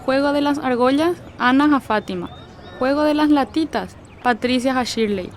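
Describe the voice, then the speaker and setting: A woman playing a brisk village fair organizer. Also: high voice, a little hiss or hum, natural voice. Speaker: meeting organizer. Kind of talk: radio drama acting.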